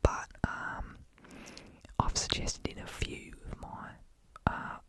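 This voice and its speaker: Soft whisper, young adult, put-on Australian accent with slight Kiwi tinge, rambling ASMR, hesitant, self-deprecating, close-miked. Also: slow. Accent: put-on Australian with a slight New Zealand tinge. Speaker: ASMR video creator.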